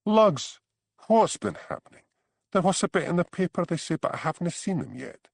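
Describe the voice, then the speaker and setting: A man voicing Archie, an excitable, high-pitched Scottish gossip. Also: moderate pace, vocal fry, no room sound, clean studio sound, exaggerated voice. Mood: nosy, eager. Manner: animated, gossipy storytelling. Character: Archie, gossipy village man, fond of a dram. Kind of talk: audiobook character dialogue.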